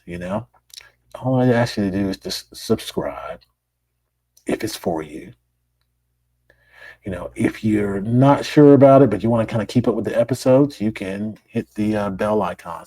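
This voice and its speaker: Hoarse raspy guy doing his podcast, lowish voice, chatty and relaxed, dry sound. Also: normal speed, dry recording, poor audio. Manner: relaxed, conversational, repeats words. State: hoarse after illness. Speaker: plastic surgeon podcast host. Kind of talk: solo podcast monologue.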